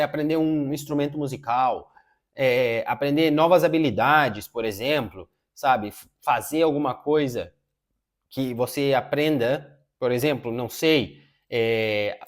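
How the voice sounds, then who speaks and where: Casual man in his thirties. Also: medium pitch. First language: Portuguese. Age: 30s. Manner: informal, says "cara" a lot, many filler "é" pauses. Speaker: self-improvement YouTuber. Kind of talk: casual advice talk. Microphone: wireless lapel mic.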